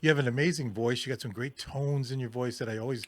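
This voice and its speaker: A man's voice: medium pitch, fairly even intonation, dry recording. Male DJ host, eager and effusive, dry close sound. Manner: enthusiastic, gushing, halting with fillers. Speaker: radio DJ host. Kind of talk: casual interview. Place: California.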